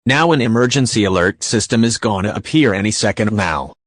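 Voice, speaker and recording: A man's voice: lowish voice, expressive, brisk, dry recording, clean studio sound. A man acting as a news announcer, giving an amateur breaking news bulletin.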